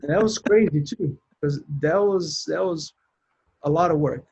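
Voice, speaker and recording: A man's voice: fairly high pitch, normal speed, vocal fry, darkish tone, slightly rough, poor audio. A man with a Brazilian accent, a sound engineer, telling his story in a casual interview and rambling with many restarts. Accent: Brazilian Portuguese. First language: Portuguese.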